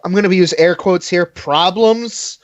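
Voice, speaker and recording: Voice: medium pitch, a little slow, dry recording, highs cut off. A podcast panelist in a casual fan discussion, explaining opinions in a conversational way.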